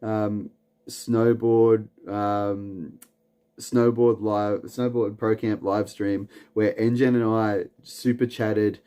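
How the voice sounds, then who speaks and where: Australian man, stream host, slow laid-back rambling delivery, lowish voice, lots of fillers. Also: clean tone. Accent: Australian, from Newcastle. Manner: relaxed and rambling, with many "um"s and repeated words; chuckles often between lines. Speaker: livestream host. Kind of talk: late-night livestream chat, reading comments aloud. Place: at home, late at night.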